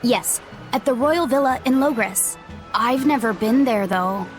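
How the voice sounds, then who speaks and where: Actress as naive young female exorcist, high pitch, polite, earnest, game character, soft music behind. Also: a little slow, natural voice. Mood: formal. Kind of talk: animated game voice acting.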